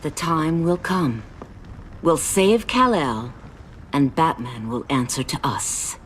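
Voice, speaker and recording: An actress voicing a calm, commanding warrior princess who speaks firmly and with authority. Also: female voice, sits low, noisy background. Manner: measured, firm and authoritative. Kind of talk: game cutscene voice acting.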